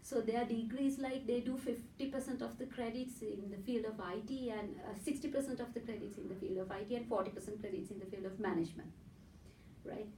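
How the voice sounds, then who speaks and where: A woman's voice: a little high, brisk, noisy background. A woman from Sri Lanka with a Sri Lankan accent and Sinhala as her first language. She gives an academic conference presentation and often adds a checking "right?" as she explains her points step by step. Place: conference room in a hotel. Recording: echoey room from far off.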